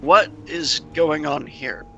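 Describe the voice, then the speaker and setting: A man with a bright, sharp voice speaks at a slow pace as a tabletop game player. His talk is casual, over faint background noise. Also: a little high, muffled recording.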